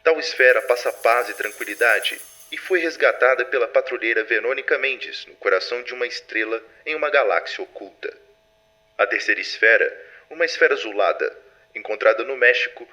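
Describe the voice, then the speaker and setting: A man's voice: mid-range voice, expressive, normal speed, pushed and bright, poor audio. A Brazilian man playing a composed, authoritative superintendent of a secret organization in an audio drama. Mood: formal, measured. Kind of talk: formal scripted archive recording, audio drama. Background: music.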